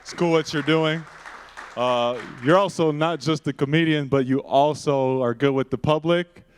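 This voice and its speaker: A young man hosting a casual late-night student talk show, asking questions in relaxed, slangy phrasing on a handheld stage microphone. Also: fairly high pitch, moderate pace, a faint crowd, a little hiss or hum. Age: young adult. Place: indoor event stage.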